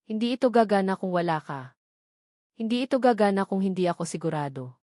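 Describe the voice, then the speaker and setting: A woman's voice: medium pitch, animated, fairly quick, warm tone, dry recording, clean studio sound, natural voice. A woman voicing a determined, protective man in a romantic story, earnest and reassuring.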